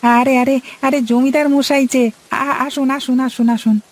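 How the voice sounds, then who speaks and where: A woman's voice: sits high, steady tone, measured pace. A woman voicing Ramu, an eager, servile young male shop boy in a cartoon who calls out to customers in a sing-song patter. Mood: deferential.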